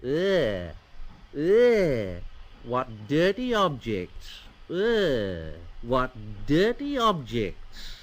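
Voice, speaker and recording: A man voicing James, a vain and boastful young male engine who turns shrill when scared. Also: huge pitch swings, drawn-out words, exaggerated voice. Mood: disgusted. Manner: haughty, then shrill and panicky.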